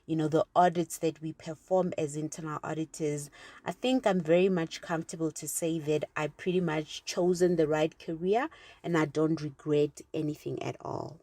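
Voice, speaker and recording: A young woman with a South African accent whose first language is Sepedi speaks in a low, steady voice. She answers interview questions about her career earnestly and thoughtfully, with frequent small fillers as she reflects. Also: normal speed. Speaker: internal auditor.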